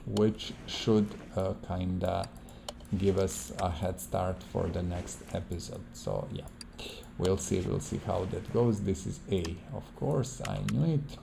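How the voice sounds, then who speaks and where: A man's voice: low-pitched, slow pace, vocal fry, background noise. A man who is not a native English speaker, giving casual game playthrough commentary in a hesitant way, searching for words and repeating himself. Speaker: gaming video host.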